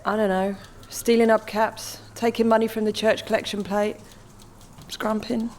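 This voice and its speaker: An actor with a British accent playing a dry, teasing, unimpressed police sergeant in a radio comedy. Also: male voice, sits high, level tone, normal speed, a little hiss or hum, close to an everyday voice. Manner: sarcastic, dismissive.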